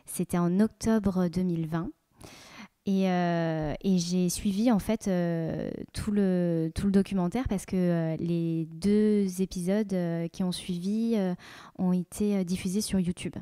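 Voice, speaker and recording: Female podcast host, warm mellow tone, dry close sound, friendly measured questions. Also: lowish voice, steady tone, smooth, no echo. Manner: friendly, measured, with frequent fillers. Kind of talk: podcast interviewing.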